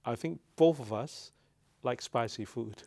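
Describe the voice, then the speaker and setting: A man's voice: mid-range voice, a little slow, gravelly, a little mellow. A man speaking as an interview host, putting questions in a conversational style.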